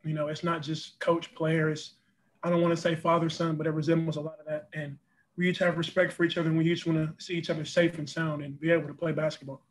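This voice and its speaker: A man's voice: fairly high pitch, level tone, fast, low-quality recording. A young man, a college basketball player, answering post-game press questions over a video call, earnest and full of "you know" and repeated words. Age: young adult. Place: press backdrop room, indoors.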